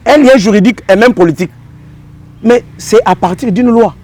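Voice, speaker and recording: A man's voice: high-pitched, brisk, a little mellow, no room sound. A man with a Congolese accent arguing insistently as an interview guest, repeating phrases and posing rhetorical questions. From Democratic Republic of the Congo. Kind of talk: political and legal argument in a TV interview.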